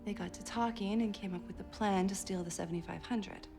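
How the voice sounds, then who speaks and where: A woman's voice: medium pitch, fairly quick, vocal fry, over music, natural voice. An actress playing a wry, self-assured 1920s female private detective with a dry manner. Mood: musing. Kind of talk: television period drama acting.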